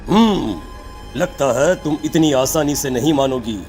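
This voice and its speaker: A stern occult master from a cartoon ghost story, acted by a voice performer. The delivery is grave, measured and commanding. Faint music runs behind it. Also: mid-range voice, expressive, a little mellow. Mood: accusing. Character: stern, accusing village occult holy man. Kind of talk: cartoon horror story voice acting.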